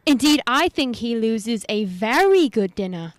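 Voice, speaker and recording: A woman's voice: on the high side, big pitch swings, a little slow, dry recording. A woman acting a prim, impatient young wife in a radio play, with emphatic delivery.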